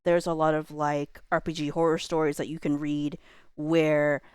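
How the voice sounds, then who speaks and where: A woman's voice: low-pitched, flat delivery, normal speed, clean tone, no room sound, studio quality. A millennial woman livestreamer chatting casually and reflectively to viewers, rambling and hedging as she lets thoughts trail off. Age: millennial, around 30s.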